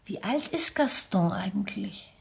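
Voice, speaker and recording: An actress in a German radio play voicing a vain, self-important middle-aged chorus singer with an affected manner. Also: female voice, mid-range voice, lively intonation, a little slow, vocal fry. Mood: vain, fretful. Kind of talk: German radio drama acting.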